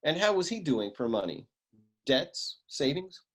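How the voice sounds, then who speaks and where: Man playing husky hardboiled private eye, swooping delivery. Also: fairly high pitch, measured pace, full character voice. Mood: probing, deadpan. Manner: deadpan, slangy tough-guy delivery. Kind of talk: humorous noir radio serial acting.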